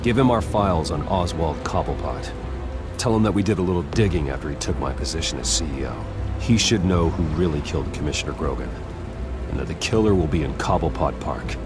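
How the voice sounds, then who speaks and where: An actor playing a grim, determined masked vigilante billionaire in a dramatic video game. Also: male voice, very deep voice, lively intonation, a little fast. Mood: resolute. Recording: loud music and effects behind.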